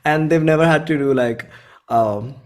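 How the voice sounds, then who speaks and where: A man in his twenties with an Indian accent, chatting casually in an interview as a musician, thinking aloud and often correcting himself. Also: a little high. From central India. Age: 20s. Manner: informal, halting, thinks aloud with frequent self-corrections. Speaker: indie musician being interviewed.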